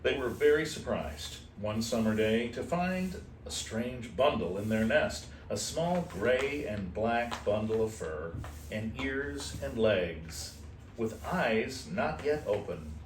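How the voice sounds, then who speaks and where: A man reading a children's storybook aloud expressively, in a playful manner with casual asides. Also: fairly low pitch. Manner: unhurried, animated, playful. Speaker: host reading a picture book aloud to shelter kittens. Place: indoor room at an animal shelter. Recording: roomy, distant mic with faint noise.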